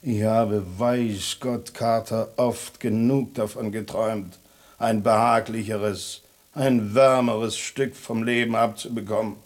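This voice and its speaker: A man playing a scornful, proud lone tomcat in a radio drama, speaking in a haughty, sardonic, mock-formal way. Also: medium pitch.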